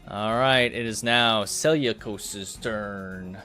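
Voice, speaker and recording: A man's voice: fairly low pitch, slow pace, bright, forceful tone, faint music behind. A man running a tabletop roleplaying game as game master, giving rulings in casual talk.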